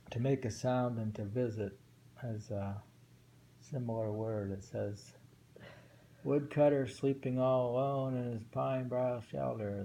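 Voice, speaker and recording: A man reading short poems aloud twice each and musing over their meaning in a slow, thoughtful way. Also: mid-range voice, creaky, dark tone, slight background noise, rough recording. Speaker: poetry reader.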